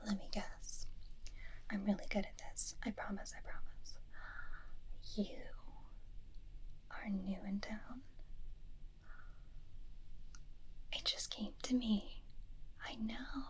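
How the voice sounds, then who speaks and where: A woman playing a flirty, free-spirited small-town witch in a breathy whispered ASMR roleplay, coy and teasing, close to the microphone. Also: medium pitch, fairly even intonation, natural voice, rough recording. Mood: intrigued, playful. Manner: slow, coy, teasing, with drawn-out pauses. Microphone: close binaural microphone.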